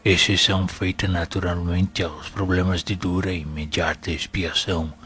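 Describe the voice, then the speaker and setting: A man's voice: sits low, little pitch movement, bright, forceful tone. A man playing a wise, serene spirit minister in an audio drama, teaching in a formal and solemn manner. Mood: calm, instructive. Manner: solemn, measured, formal, instructive. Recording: music playing behind the voice.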